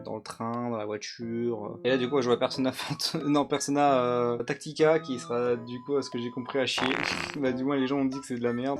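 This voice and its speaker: A man giving casual, joking and crude gameplay commentary, rambling and often laughing. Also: medium pitch. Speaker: gaming YouTuber. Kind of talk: casual let's-play commentary. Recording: game music playing under the voice. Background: game music.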